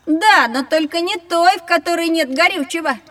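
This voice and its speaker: A high-voiced young boy in a children's audio drama. He is curious and sure of himself, eager to explain how things work and quick to ask questions. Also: a bit bright, in a large hall. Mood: confident, eager. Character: curious, confident, know-it-all young boy.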